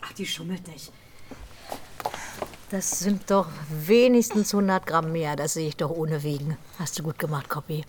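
A lower-pitched woman an actress as a no-nonsense prison midwife. She is blunt but encouraging in radio drama style, over faint noise. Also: steady tone, moderate pace, a little mellow, close to an everyday voice. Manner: matter-of-fact, bluntly encouraging.

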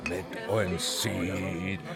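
A man's voice: low voice, little pitch movement, extremely slow, gravelly, full character voice. An actor playing a jovial underground pub barman in a radio drama, chatty and jokey in colloquial speech. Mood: amused, confiding. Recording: faint music and crowd murmur behind.

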